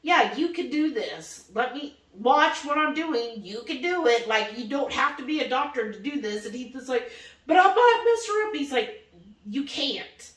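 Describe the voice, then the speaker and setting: A woman with a fairly high voice tells a personal story in a casual, chatty way. She often slips into quoted dialogue as she goes. The sound is roomy and echoing, picked up some way from the microphone. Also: moderate pace. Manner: animated retelling, often quoting dialogue in acted voices. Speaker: vlog host. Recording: roomy echo, distant microphone.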